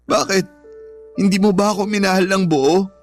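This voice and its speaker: A man's voice: high voice, steady tone, moderate pace, soft background music, close to an everyday voice. A man acting a wounded, devoted young boyfriend in a Filipino radio drama, with a pained, earnest delivery. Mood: pleading. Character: wounded, devoted young working-class boyfriend, pleading and proud.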